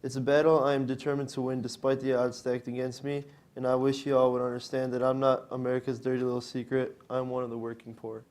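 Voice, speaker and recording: A man's voice: medium pitch, completely flat delivery, fast talker, few pauses, a little mellow, close to an everyday voice. A young man performing a scripted readers theater monologue as a student reader. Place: college library event. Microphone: worn microphone.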